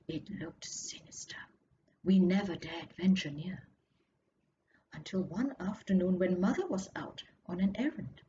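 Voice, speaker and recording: Woman, expressive storytelling, measured dramatic delivery, mid-pitched voice, dull muffled audio. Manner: measured, dramatic, drops to hushed tones for suspense. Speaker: author reading their own story. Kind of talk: expressive storytelling narration of a book excerpt. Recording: muffled audio with clear background noise.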